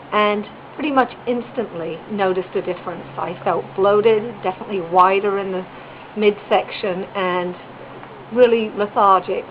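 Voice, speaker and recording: A woman speaks upbeat and fluently at a middle pitch. The recording is dull and lo-fi, with clear noise running underneath. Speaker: customer giving a testimonial. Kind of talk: unscripted spoken testimonial.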